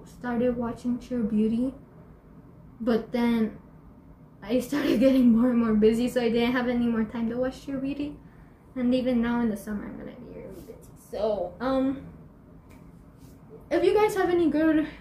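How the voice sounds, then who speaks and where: High-voiced bubbly teen girl, echoey room. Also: warm tone, slight background noise. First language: Spanish and English. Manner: bubbly and chatty, laughs often, many fillers and restarts. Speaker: YouTuber. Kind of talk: casual Q&A vlog. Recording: echoey room, faint ambience.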